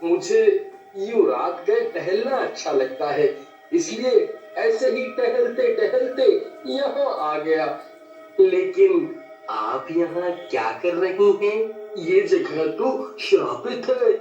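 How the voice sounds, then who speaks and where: A man's voice: high-pitched, very animated, slow pace, a little mellow, full character voice. A man playing a timid, lovestruck, boastful young village simpleton in a story, with whiny, stammering speech. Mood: bashful, boastful. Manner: whiny exclamations, hesitant stammering. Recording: echoey, distant, dull audio with music.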